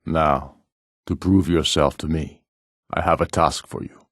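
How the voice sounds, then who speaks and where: An actor playing a proud, commanding middle-aged rebel jarl in a fantasy video game, speaking in solemn, imperious, measured oratory. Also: male voice, a bass voice, steady tone, dry recording, studio quality. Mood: stern, commanding.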